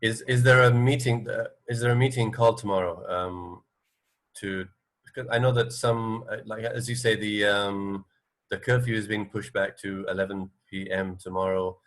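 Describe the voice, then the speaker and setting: A man in a casual radio discussion, talking in a hesitant, halting and tentative way. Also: lowish voice, fairly even intonation, a bit bright.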